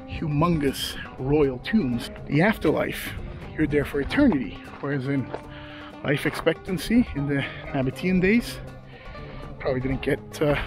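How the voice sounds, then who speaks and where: A man in his thirties casually narrating a travel vlog outdoors, speaking to a camera held at arm's length, chatty and hesitant with many fillers. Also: fairly high pitch. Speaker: travel vlogger. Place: outdoors at desert ruins and rock canyon. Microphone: handheld camera at arm's length. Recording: music playing over it.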